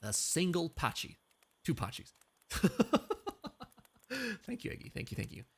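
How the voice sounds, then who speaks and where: A man's voice: fairly high pitch, sing-song, measured pace, creaky, fairly bright tone, husky. A man streaming karaoke, chatting casually between songs, joking and reading chat messages aloud with a playful manner. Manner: joking, reads chat aloud, frequent laughs. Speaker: VTuber streamer.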